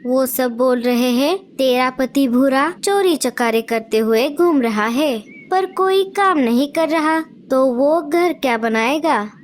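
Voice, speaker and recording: A woman's voice: high voice, lively intonation, slow, hardly any pauses, slight background noise. A woman voicing a nagging young female sparrow wife in a children's cartoon, with a whiny, complaining manner. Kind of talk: cartoon voice acting.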